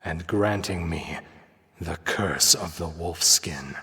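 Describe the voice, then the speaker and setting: A man voicing a tormented, self-loathing young soldier cursed to become a werewolf, in a brooding, halting, melodramatic gothic visual novel style. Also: low-pitched, sharp tone, slightly rough, no room sound, clean studio sound, heavily acted. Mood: bitter, anguished. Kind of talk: gothic visual novel voice acting, dramatic monologue.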